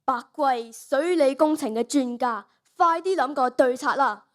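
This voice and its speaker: A girl acts out a commanding king of ancient China. The voice is high and young, in Hong Kong Cantonese radio-drama style. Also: unhurried. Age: child, primary school student. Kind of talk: school radio drama acting.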